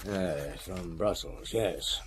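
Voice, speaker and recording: An actor playing a brisk, inquisitive police officer asking clipped questions in a radio drama. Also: male voice, sits low, slight background noise.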